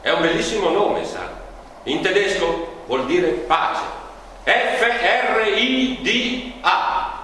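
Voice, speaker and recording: Stage role: actor as proud new father, emphatic and declaiming, bright forceful voice, distant echoing hall. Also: high-pitched, lively intonation, heavily acted. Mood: proud, insistent. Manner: emphatic, declaiming, spells out slowly. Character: proud, insistent father of a newborn daughter. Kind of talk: theatrical stage acting. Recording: echoey hall from far off, faint noise.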